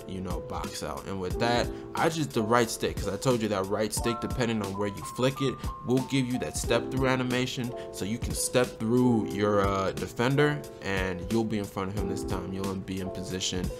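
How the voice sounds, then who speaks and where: Guy with a lowish voice, a bit hoarse from being sick, chill tutorial talk, music going under him. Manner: relaxed, conversational, often asks "right?". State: voice slightly hoarse from a cold. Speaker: gaming channel host. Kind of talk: casual game tutorial commentary.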